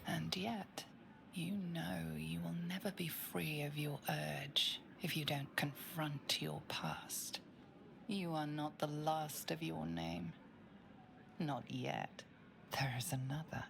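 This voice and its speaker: An actress playing a grave, ominous storyteller, narrating a dark fantasy game in a measured, hushed, portentous way. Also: female voice, sits low, steady tone, vocal fry, a little hiss or hum, full character voice. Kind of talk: dramatic scripted game narration. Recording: music under the narration.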